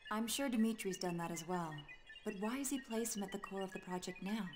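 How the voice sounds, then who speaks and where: An actress playing a shrewd, skeptical woman in command in a game cutscene, crisp and authoritative. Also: mid-range voice, fairly quick, over music, natural voice. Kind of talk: video game cutscene dialogue.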